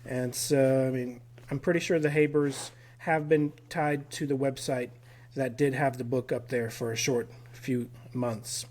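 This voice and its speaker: A man being interviewed as a guest researcher on a podcast. He pieces his memories together haltingly, with plenty of fillers and restarts. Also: medium pitch, steady tone, a little mellow. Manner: recalls details haltingly with many fillers. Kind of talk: casual podcast conversation.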